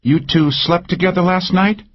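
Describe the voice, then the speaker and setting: A man's voice: high-pitched, moderate pace, dull audio, pitched above the performer's own voice, close to an everyday voice. A man putting on a brash flirty woman. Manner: brash, teasing, lively. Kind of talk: audiobook character voice.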